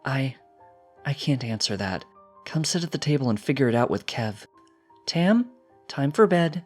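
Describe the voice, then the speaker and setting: A brisk fantasy mother played by a man, speaking directly and higher than the reader's own voice. It is a storybook reading with faint music. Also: big pitch swings. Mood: flustered, firm. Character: brisk, assertive village wife and mother, fantasy creature.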